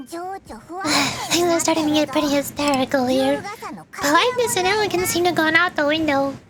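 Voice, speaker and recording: A woman's voice: high voice, normal speed, natural voice. A woman voicing a proud, principled young noblewoman knight in a video game story, formal and firm. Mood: haughty, weary.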